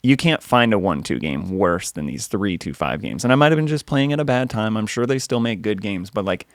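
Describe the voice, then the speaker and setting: Young male co-host, lowish voice, relaxed and wry, dry close recording. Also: fairly quick, no echo. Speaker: podcast co-host, poker dealer. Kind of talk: casual chat.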